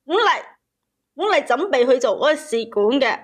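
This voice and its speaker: A woman's voice: sits high, sing-song, normal speed. A woman calling in to tell a personal story, repeating phrases for emphasis. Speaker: caller telling her story. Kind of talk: emotional personal storytelling to a host.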